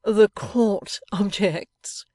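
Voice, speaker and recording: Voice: medium pitch, wildly swooping pitch, a little mellow, breathy edge, no echo, studio quality, pitched above the performer's own voice, full character voice. A storybook reader voicing an airy society wife who is falsely innocent, archly playful and coquettish. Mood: gaily teasing. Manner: coquettish, wheedling, drawn-out delivery.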